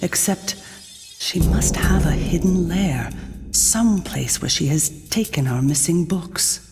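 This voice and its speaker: A woman playing a grave, stern member of a secret order in a video game, formal and measured. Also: on the low side, animated, darkish tone. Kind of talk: video game cutscene acting. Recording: hall reverb with music.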